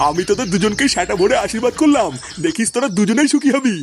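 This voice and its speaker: A man's voice: high-pitched, animated, fast talker. A man voicing a fussy middle-aged father in a comic cartoon, advising and grumbling. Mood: fatherly. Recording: faint noise and clicks.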